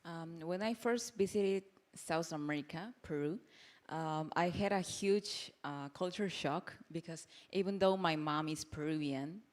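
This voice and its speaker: A woman, a soft-spoken guest creator answering panel questions with some hesitation. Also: low voice, a little slow. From Korea.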